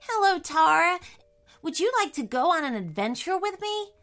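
A woman's voice: very high voice, big pitch swings, moderate pace, fairly bright tone, faint music behind, voice pushed up, exaggerated voice. A woman voicing a sweet, eager young princess girl with wide-eyed, exclaiming delivery in a children's storybook. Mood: delighted, greeting.

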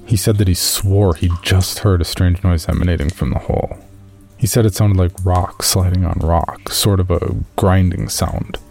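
A man's voice: low voice, fairly even intonation, moderate pace, creaky, darkish tone, soft background music. A man playing an amateur caver, reading his uneasy journal as first-person horror story narration. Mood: measured, uneasy.

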